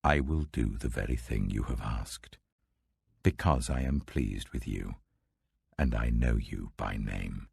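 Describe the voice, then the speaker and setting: A stern, majestic British God speaks in a scripture audiobook, acted by a male narrator. The voice is extremely deep and husky, sinking well below ordinary speech. Each phrase is slow, weighty and commanding, close and dry. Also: a little mellow, no echo, full character voice. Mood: solemn, merciful.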